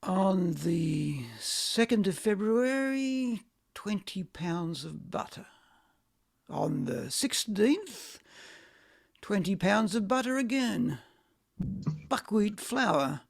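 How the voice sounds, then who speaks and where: A woman playing a miserable middle-aged man in an amateur play reading, creaky, weary and halting. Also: mid-range voice, big pitch swings, heavily acted. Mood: weary, flat.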